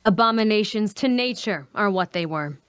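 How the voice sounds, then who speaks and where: The devout, solemn heroine vouching for her party in a fantasy audio drama, smooth and dryly recorded played by a woman. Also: mid-range voice, expressive, moderate pace, no room sound, studio quality, natural voice. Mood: solemn, righteous. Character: solemn, righteous, pious female adventurer. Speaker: actor at a table read.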